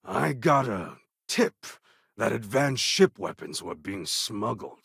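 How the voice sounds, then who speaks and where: A man playing a proud, fierce warlord in a video game, speaking in a grandiose, commanding way with a husky, gravelly voice. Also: fairly low pitch, sing-song, slow, fairly bright tone, dry recording, clean studio sound, full character voice. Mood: grave, measured. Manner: grandiose, commanding, formal phrasing. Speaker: video game character voice actor. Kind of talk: dramatic video game character dialogue.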